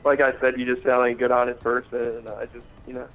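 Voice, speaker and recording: A muffled, lo-fi phone recording of a man in casual conversation. His voice is mid-pitched and he speaks hesitantly, hedging as he goes, while faint music plays behind. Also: flat delivery, fast talker. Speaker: caller on a chat line. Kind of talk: casual chat. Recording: dull lo-fi phone call.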